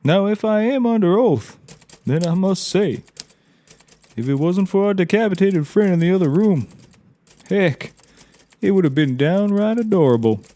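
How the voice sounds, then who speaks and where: A man's voice: sits high, animated, moderate pace, pauses often, mellow, no echo. A man playing a naive, folksy taxidermist and tracker in a scripted audio comedy, rambling with drawled interjections. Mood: earnest, rambling.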